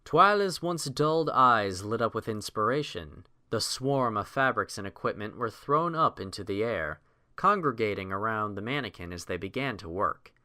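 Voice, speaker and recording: Expressive man narrating with lively pitch. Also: normal speed. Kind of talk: scripted story narration.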